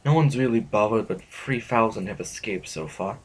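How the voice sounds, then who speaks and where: An actor playing an anxious, weary, conscientious official in an audio drama, speaking formally with hesitant stammers. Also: male voice, on the low side, normal speed, slight background noise, natural voice, rough recording. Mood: grim, informative. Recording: music under the voice. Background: music.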